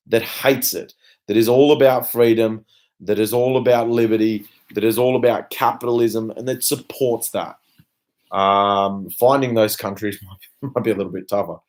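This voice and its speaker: A man's voice: on the low side, fairly even intonation, normal speed, darkish tone. A young Australian man speaking off the cuff in a personal video rant, blunt and emphatic, with casual swearing and trailing asides. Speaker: vlogger. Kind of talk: unscripted personal rant to camera.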